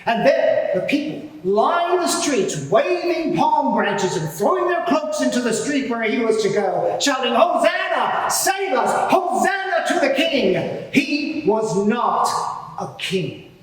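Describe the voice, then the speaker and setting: A man playing an indignant, self-righteous high priest giving pompous, deliberate testimony in a dramatised courtroom inquiry. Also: very animated, full character voice. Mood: scornful. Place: large courtroom before a live audience. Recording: very echoey hall, distant mic.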